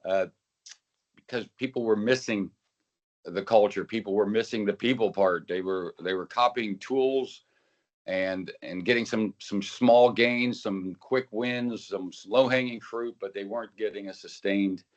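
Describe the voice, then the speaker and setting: Older man on a muffled call line. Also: lowish voice. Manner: measured, with frequent uh fillers. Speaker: keynote speaker. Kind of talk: speaking on a webinar call. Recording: dull, muffled call audio.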